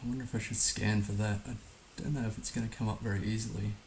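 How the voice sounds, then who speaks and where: Male game streamer, hoarse and gravelly, unhurried thinking-out-loud delivery, faint hiss on the track. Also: on the low side, fairly even intonation, low-quality recording. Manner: musing, hesitant, thinking aloud. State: hoarse, recovering from being under the weather. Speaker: livestream host playing a geography guessing game. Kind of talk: casual live game commentary. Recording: noticeable background hiss.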